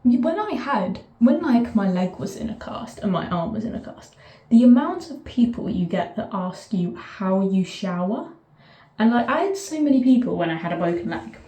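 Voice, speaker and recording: A woman's voice: medium pitch, big pitch swings, moderate pace, mellow. Chatty southern English woman in an echoey bathroom. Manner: rambling, chatty, self-interrupting asides. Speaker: vlogger. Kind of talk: casual chat to camera. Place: sitting in a bath, bathroom.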